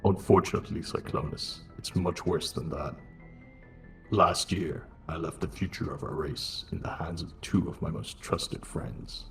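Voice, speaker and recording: A man voicing a noble, weary robot leader in a fan dub, measured and earnest. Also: sits low, steady tone, creaky, faint music behind. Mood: grave. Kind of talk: scripted fan dub of a comic. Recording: roomy, reverberant sound.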